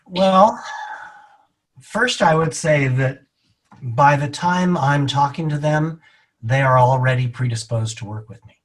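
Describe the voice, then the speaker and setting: A middle-aged man being interviewed as a real estate agent, explaining his answers in a measured, deliberate way. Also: mid-range voice, fairly bright tone, poor audio.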